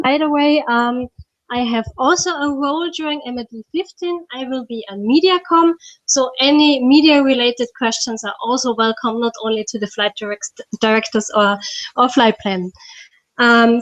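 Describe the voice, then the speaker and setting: A woman hosting a video panel, asking questions politely with soft fillers. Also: high voice, moderate pace, no room sound, dull audio. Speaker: host and interviewer.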